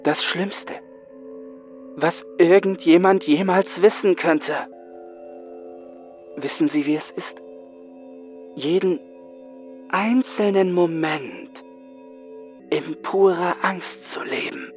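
A man acts out a young male psychiatric patient in a horror story. He is bitter, frightened and haunted, speaking with intense dramatic pauses over music. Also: high voice, lively intonation, voice pushed up. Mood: haunted, fearful. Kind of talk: horror audiobook acting.

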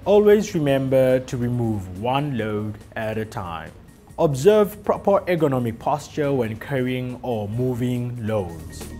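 A man presenting a scripted workplace safety induction, reading rules slowly and deliberately with emphatic listing. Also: medium pitch, big pitch swings. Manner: slow, deliberate, emphatic list delivery. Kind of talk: scripted corporate safety narration. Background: soft background music.

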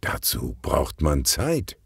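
A man narrating a scripted children's detective story in an expressive storytelling manner. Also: a bass voice, slow, gravelly, husky, dry recording, clean studio sound. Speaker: audiobook narrator.

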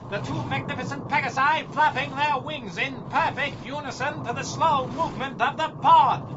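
A man's voice: very high voice, noisy background. A man playing a grandiose announcer narrating a melodramatic pulp space adventure radio serial. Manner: grandiose, theatrical. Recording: dull lo-fi audio under loud music.

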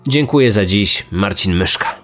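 A man's voice: lowish voice, animated, normal speed, fairly bright tone, soft background music. A man hosting a podcast, telling true stories as scripted documentary narration. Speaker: true crime podcast host.

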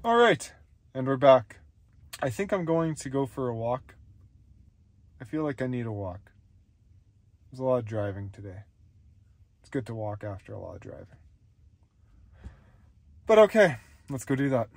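A man's voice: mid-range voice, normal speed, gravelly, long pauses, no echo. A man in his thirties chatting casually to a phone camera held at arm's length, rambling with plenty of fillers, in his truck and out on a snowy trail. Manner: relaxed, rambling with many fillers. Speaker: vlogger. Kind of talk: casual vlog chat.

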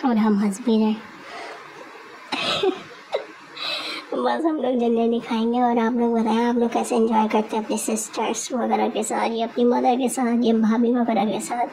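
A woman's voice: a little high, flat delivery, normal speed, noisy background. A young woman narrating her vlog in a chatty, giggly way, telling viewers about her evening out. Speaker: vlogger. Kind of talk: casual voice-over vlog narration.

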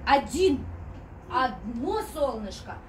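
A woman in her thirties leading a playful toddler class, talking to small children in a drawn-out sing-song way with little rhymes. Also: fairly high pitch, sing-song, darkish tone, slight background noise. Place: indoor children's playroom. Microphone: distant camera. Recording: echoey room, distant microphone, music behind.

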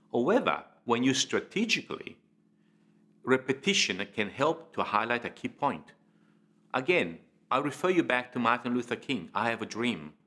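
Guy in his 50s teaching to camera, super lively pitch, deliberate pauses and punchy emphasis. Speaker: public speaking trainer. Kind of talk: instructional presentation to camera. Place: indoor studio.

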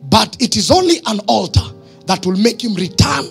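A man in his thirties with a Kenyan accent, a pastor preaching emphatically in short phrases and questions to his congregation, husky, on a handheld microphone in church. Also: high-pitched, sing-song, normal speed, soft background music. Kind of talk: sermon. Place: church stage, indoors.

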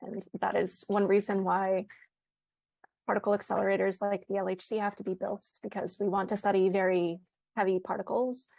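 A woman in her thirties giving a measured, precise academic explanation over a video call on an earphone mic. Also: medium pitch, level tone, gravelly, a little mellow, dry recording, rough recording. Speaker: panelist, physicist. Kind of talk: explanatory academic panel answer. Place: indoor room, joining by video call. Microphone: earphone headset on video call.

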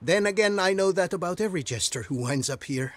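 An actor voicing a roguish, swaggering prison inmate in a video game, theatrical and chatty. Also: male voice, sits high, expressive, brisk, no room sound. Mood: smug, teasing. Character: cocky, roguish male jailbird, self-styled prison king.

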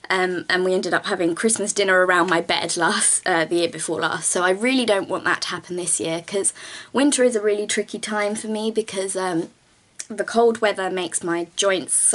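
A young woman from England vlogging from her bedroom, chatty and rambling with lots of ums, her voice a little croaky from just waking up. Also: fairly low pitch, a bit bright. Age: young adult. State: slightly croaky morning voice. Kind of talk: casual talking-head vlog while doing makeup. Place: small bedroom with bookshelves, indoors. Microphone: camera at close range.

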